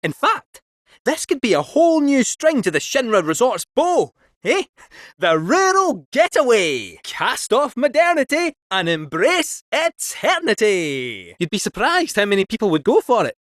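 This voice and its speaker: A chatty male robot cat fortune teller from a video game, with a lilting Scottish accent. The voice sits high and leaps about playfully in pitch. Recorded dry and close, with no room sound. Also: huge pitch swings, measured pace, fairly bright tone, no room sound, heavily acted. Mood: wry musing.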